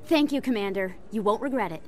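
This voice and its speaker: An actress playing an earnest, polite and persuasive investigative journalist in a sci-fi video game. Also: female voice, mid-range voice, expressive, fast talker, slight background noise, natural voice. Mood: grateful. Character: eager, persuasive female investigative journalist on a space station. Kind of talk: video game dialogue acting.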